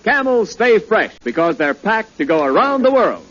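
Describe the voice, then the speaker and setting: A man's voice: high-pitched, sing-song, moderate pace. A man announcing a 1940s radio show in period broadcast style. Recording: dull old radio recording with music. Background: loud orchestra music.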